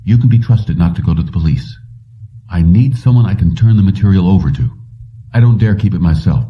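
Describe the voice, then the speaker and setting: A man's voice: sits low, animated, fairly quick, recorded from a distance, muffled recording. A man reading a determined, calculating fugitive in an audiobook, speaking insistently and explaining himself. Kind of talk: audiobook character dialogue.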